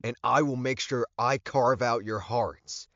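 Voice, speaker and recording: A man voicing a commanding, protective werewolf alpha in an audiobook, with a forceful delivery. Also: fairly low pitch, lively intonation, measured pace, dry recording, muffled recording, voice pushed up. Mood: desperate, enraged. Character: commanding, protective male werewolf alpha, fierce about his mate.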